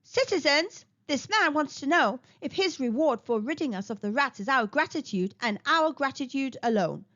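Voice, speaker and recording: A woman's voice: high voice, lively intonation, normal speed, hardly any pauses, fairly bright tone, no echo. A woman voicing a stingy, smug male town mayor who makes grand, showy promises. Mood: pompous. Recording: dull lo-fi recording.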